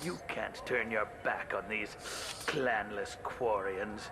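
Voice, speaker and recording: An actor playing an indignant, prejudiced alien merchant in a video game, blustering and halting as he makes accusations. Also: fairly low pitch, noisy background. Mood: defensive, scornful.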